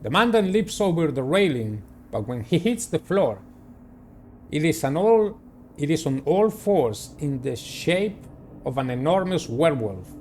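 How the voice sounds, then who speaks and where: Male game narrator, somewhat high and highly expressive, dramatic reader with asides, faintly noisy recording. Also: measured pace. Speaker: gaming video host. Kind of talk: reading visual novel narration aloud with personal commentary.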